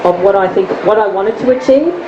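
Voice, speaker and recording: A woman's voice: medium pitch, lively intonation, fairly quick. A woman with an Australian accent, a fitness coach speaking earnestly as a guest in an onstage interview. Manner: earnest, upbeat, motivational. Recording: echoey hall, crowd and noise behind, dull sound.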